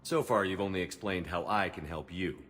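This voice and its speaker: A man's voice: low voice, a little fast, fairly bright tone, slight background noise. A man playing a stern, determined military commander in a video game cutscene. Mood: impatient.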